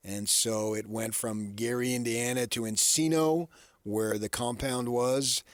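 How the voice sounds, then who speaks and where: A middle-aged man co-hosting a sports radio show, telling stories casually in a chatty, animated way. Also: mid-range voice, measured pace, dry recording, studio quality.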